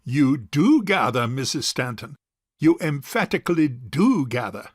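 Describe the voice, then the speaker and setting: An actor playing a pompous, self-righteous rich old bachelor uncle in a theatrical period farce. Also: male voice, mid-range voice, big pitch swings, breathy edge, dry recording, clean studio sound. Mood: pompous, self-satisfied. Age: older. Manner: pompous, deliberate, orating. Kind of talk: theatrical period farce audio drama acting.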